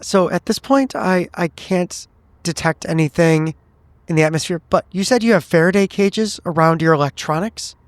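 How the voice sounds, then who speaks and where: A man takes part in a tabletop roleplaying game with measured courtesy. His voice sits a little high and is recorded dry, each thought laid out deliberately. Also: no echo.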